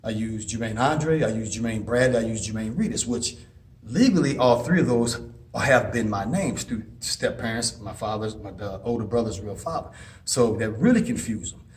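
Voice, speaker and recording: A man's voice: on the low side, expressive, moderate pace, darkish tone. A man giving casual advice and personal stories in an earnest, rambling way with frequent restarts. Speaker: advice vlogger and former inmate.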